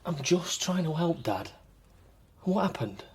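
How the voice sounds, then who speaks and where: Earnest grown son, man, slightly high voice, very lively intonation, probing, radio drama. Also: a little slow, breathy edge, exaggerated voice. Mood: exasperated, pressing. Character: earnest, probing grown son confronting his secretive father. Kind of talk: naturalistic radio drama acting.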